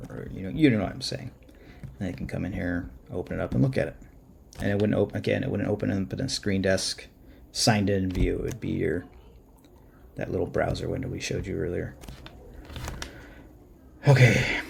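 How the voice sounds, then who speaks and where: A man giving a casual, unscripted software walkthrough, informal and halting as he thinks aloud at his computer. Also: low-pitched, creaky, a little hiss or hum. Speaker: software tutorial presenter. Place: indoors at a computer.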